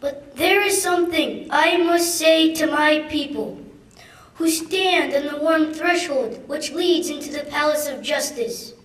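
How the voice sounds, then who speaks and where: A woman's voice: high voice, a little slow. A young woman, a student, delivering a dramatic reading of a historic speech. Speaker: student reader. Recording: echoey hall, distant microphone.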